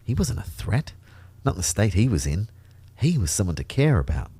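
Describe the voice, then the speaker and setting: A low male voice in a dry, close recording, an anxious everyman telling his sci-fi adventure. He narrates in the first person with wry understatement, as in a scripted audio drama. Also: very animated, moderate pace, mellow, slightly rough, no echo, full character voice. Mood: wry, uneasy. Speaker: narrator and lead actor.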